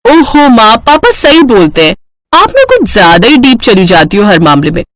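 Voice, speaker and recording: A woman voicing an excitable, whiny, naive young bride in a cartoon story. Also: on the high side, normal speed, no echo. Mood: eager, insistent. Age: young adult.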